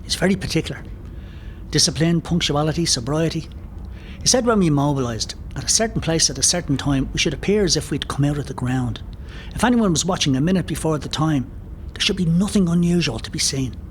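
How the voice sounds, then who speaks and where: An Irish man playing an earnest rebel volunteer in an audio play, speaking in a measured way. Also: on the high side, expressive, a little hiss or hum, lightly acted. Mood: admiring, earnest.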